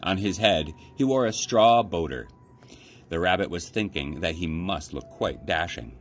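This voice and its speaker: A man reading a children's story aloud as an expressive narrator, like a librarian at story time. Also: sits low, measured pace, faint music behind.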